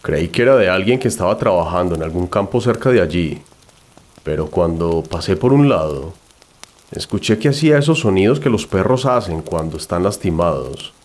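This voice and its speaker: Deep-voiced man narrating a horror story. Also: lively intonation, normal speed, darkish tone. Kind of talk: scripted first-person horror storytelling.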